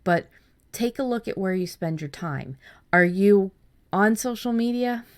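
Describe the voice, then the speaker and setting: A woman's voice: medium pitch, measured pace, clear, resonant tone. A woman hosting a writing podcast, talking casually with frequent restarts and repeated words. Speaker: podcast host.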